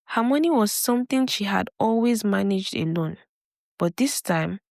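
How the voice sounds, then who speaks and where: A woman narrates a scripted folktale in a mid-pitched voice. The recording is close and dry, with very little room sound around her storytelling. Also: expressive, normal speed, creaky, a little mellow, dry recording.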